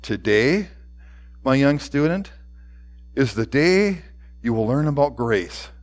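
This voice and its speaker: A man voicing a gentle, solemn older principal in a story, speaking quietly and deliberately. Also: fairly high pitch, lively intonation. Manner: quiet, slow, deliberate, near whisper at times.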